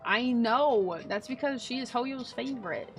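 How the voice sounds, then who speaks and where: A woman's voice: a little high, unhurried, faint music behind. A woman game streamer giving casual, playful, slangy live reactions.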